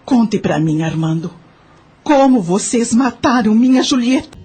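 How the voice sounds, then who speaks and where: A woman's voice: on the high side, lively intonation, a little slow, dark tone, husky, faint music behind, heavily acted. A woman with a Brazilian accent playing a grieving elderly aunt in an audio drama, solemnly and insistently demanding the truth.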